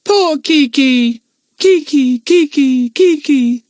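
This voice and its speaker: A man voicing a frightened pet parrot that repeats its phrases in a high squawk. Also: animated, measured pace, darkish tone, dry recording, studio quality.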